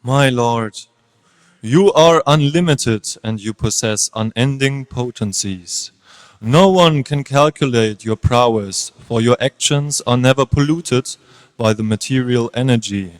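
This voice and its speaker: A man playing a reverent demigod offering prayers in a dramatic scripture reading, solemn, measured and declamatory. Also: mid-range voice, close to an everyday voice. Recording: long reverberant hall echo.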